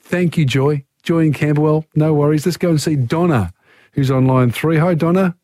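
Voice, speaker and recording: A man's voice: a little high, normal speed, dark tone, no echo, studio quality. An Australian man hosting a talkback radio quiz, chatting with callers in an upbeat way. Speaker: radio host.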